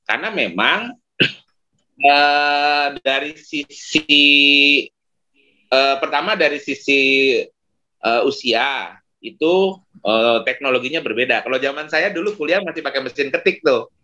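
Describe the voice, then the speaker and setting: A man in his forties, an Indonesian facilitator, explaining digital marketing on a video call, with many pauses and fillers. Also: medium pitch, pushed and bright, low-quality recording.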